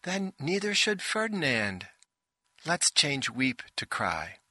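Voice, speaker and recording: A man's voice: medium pitch, very animated, slow pace, pushed and bright, dry recording, studio quality, voice pushed up, full character voice. A man plays an imperious artificial woman running a rehearsal in an audiobook. She is exacting and theatrical, her voice lifted a little and dryly recorded. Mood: imperious, corrective. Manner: imperious, exacting stage director. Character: bossy theatrical female ship intelligence directing a play.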